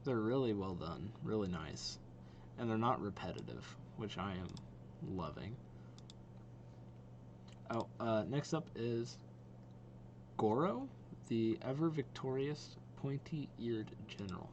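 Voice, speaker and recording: A man's voice: fairly low pitch, very animated, slow pace, pauses often, highs cut off, noisy background. A young man hosting a reaction video with casual, off-the-cuff commentary.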